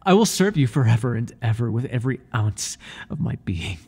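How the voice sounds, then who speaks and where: A man playing an obsessive, groveling high priest who serves a dark master, speaking in a pleading, servile way in an intimate ASMR roleplay. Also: very animated, a little fast, husky. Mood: overwhelmed gratitude. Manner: pleading, servile, breathless with devotion.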